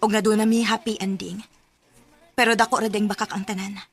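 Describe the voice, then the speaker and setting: A woman's voice: medium pitch, flat delivery, a little fast, slightly rough, soft background music. An actress playing a lovestruck young single mother with a secret in an emotional radio drama, speaking with a Cebuano accent. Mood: sorrowful.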